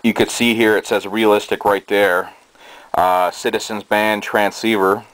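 A man giving a casual show-and-tell in a halting, hesitant way, recorded indoors on a handheld camera's microphone. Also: on the low side, no echo, low-quality recording. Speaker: hobbyist presenter. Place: small cluttered bedroom indoors. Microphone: handheld camera's built-in microphone. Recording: steady noise, amateur camera sound.

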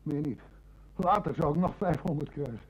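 An actor with a Netherlands Dutch accent playing a nervous, indignant small-time crook in an old radio drama, protesting in an agitated way. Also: male voice, on the high side, normal speed, darkish tone, breathy edge. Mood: resigned, confessing. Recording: dull old radio-play recording.

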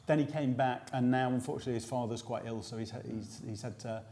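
A man in his forties with a British accent, hosting a post-match basketball analysis in a measured, explanatory way, seated in a small indoor room. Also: mid-range voice, steady tone, mellow. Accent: British English. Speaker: host. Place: small indoor room before a sponsor backdrop.

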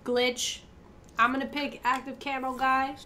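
A woman's voice: sits high, level tone, a little slow, bright, forceful tone, a little hiss or hum. A young woman streaming a team shooter match, chatting casually and calling out plays, her nose stuffed up from a cold. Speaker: gaming streamer. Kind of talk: casual gameplay commentary with team callouts.

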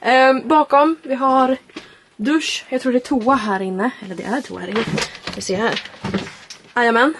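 A woman's voice: a little high, pauses often. A woman, chatting casually and informally to camera as a vlogger. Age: 20s. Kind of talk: casual chatty road trip vlog. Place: in and around a camper van and car. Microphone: handheld vlog camera. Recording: clear background noise, camera audio.

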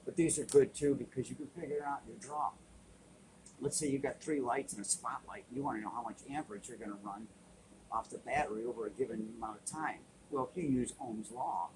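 A middle-pitched man in his fifties explains boat gear in a practical, unhurried way, dropping in uh and um as he goes. He's recorded by a webcam in a cluttered indoor workshop. Steady room noise sits clearly under his voice. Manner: plain-spoken, practical, with frequent uh and um. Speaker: sailing channel host. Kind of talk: casual how-to explanation.

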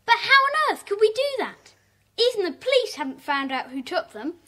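A woman's voice: very high voice, sing-song, normal speed, bright, forceful tone, full character voice. A woman playing an eager, questioning schoolchild in a children's audio drama. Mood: inquisitive.

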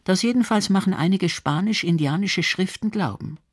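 A woman's voice: fairly low pitch, moderate pace. A woman reading scripted documentary narration.

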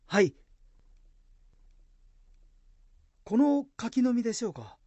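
A woman's voice: a little high, sing-song, brisk, warm tone, no echo, lo-fi sound. A woman acting in a Japanese radio drama as an earnest, devoted young samurai lord who uses formal, archaic speech. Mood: eager, polite.

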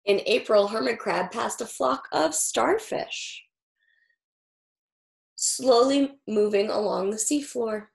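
A woman in her thirties reading a picture book aloud as a teacher, in a deliberate, expressive storytelling manner. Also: on the high side, creaky, low-quality recording. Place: small furnished room indoors.

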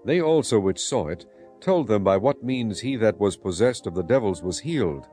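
A man with a low voice narrates scripture in a dramatized style. His intonation is varied and expressive, and quiet music runs beneath the reading. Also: moderate pace.

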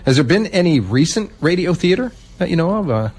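A man's voice: on the high side, big pitch swings, fast, a little mellow, husky. A man hosting a radio show, asking questions in a casual, conversational way.

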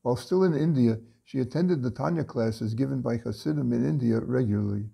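An older man reading a story aloud from his home study into a webcam, unhurried, with frequent pauses and restarts. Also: mid-range voice, vocal fry, dark tone, husky. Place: home study lined with bookshelves.

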